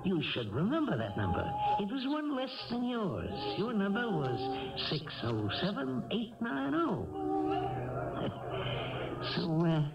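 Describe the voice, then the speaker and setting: An actor playing a cocky small-time American crook in a radio drama, brash, slangy and insinuating. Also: male voice, high-pitched, huge pitch swings, unhurried, vocal fry, full character voice. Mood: smug, insinuating. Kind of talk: 1970s radio drama acting. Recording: dull vintage radio, loud music.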